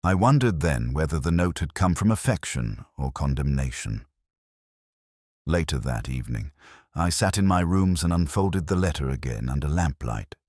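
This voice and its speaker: A man playing a weary, pensive detective inspector who narrates his case in a measured, literary, brooding way. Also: rumbling low, expressive, darkish tone, no echo, studio quality. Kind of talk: scripted first-person mystery narration.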